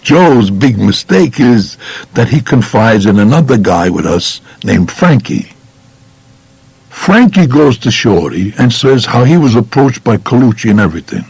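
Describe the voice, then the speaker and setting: A man's voice: medium pitch, creaky, darkish tone, breathy edge, no room sound, heavily acted, poor audio. A man playing a tough, streetwise New York mobster recounting his crimes bluntly in colloquial first-person audiobook narration. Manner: colloquial, blunt, matter-of-fact.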